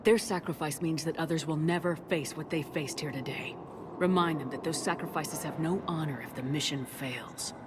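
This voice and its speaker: Video game military commander, female, played by an actress: lowish, firm, blunt authority, clear background noise. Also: moderate pace, natural voice. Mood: steady, resolute.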